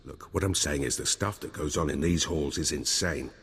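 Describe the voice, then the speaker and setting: Cocky military spy for a game: very low, husky, breezy, sardonic, scheming. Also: male voice, moderate pace, big-room sound, heavily acted. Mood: amused, confiding.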